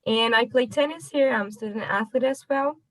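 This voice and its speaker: A young woman introduces herself in a fairly high voice, friendly and plain. Her English carries small grammar slips. The sound is dry and close. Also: normal speed, fairly bright tone, no echo, poor audio. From Brazil. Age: young adult. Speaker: student panelist. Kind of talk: self-introduction on a panel.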